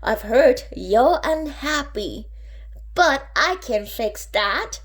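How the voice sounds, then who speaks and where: A woman reading a storybook, voicing a slick, upbeat male travelling salesman with a persuasive showman's pitch. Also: lively intonation, extremely slow, exaggerated voice. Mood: confident, pitching.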